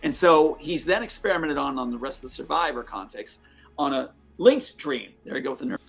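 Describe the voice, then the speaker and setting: Gravelly, husky male interviewee, rambling, stumbling, played-back clip with faint music. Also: on the high side, big pitch swings, fairly quick. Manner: stumbling, repeats words. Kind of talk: rambling interview recounting a story.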